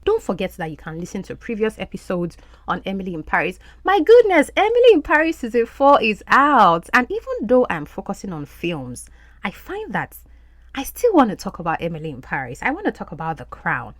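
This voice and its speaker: A woman whose first language is Yoruba, hosting a podcast as an English language coach and speaking in an animated, encouraging way. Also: medium pitch, a little fast, dry recording. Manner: animated, encouraging teacher's delivery.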